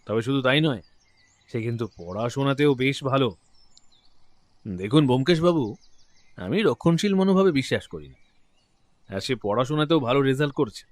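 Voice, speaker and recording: An actor playing a genial, talkative middle-aged gentleman client in a detective audio drama. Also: mid-range voice, lively intonation, normal speed, pauses often, dry recording, natural voice. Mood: impressed, genial. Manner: genial, talkative, open-hearted. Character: affable, chatty gentleman client, proud father.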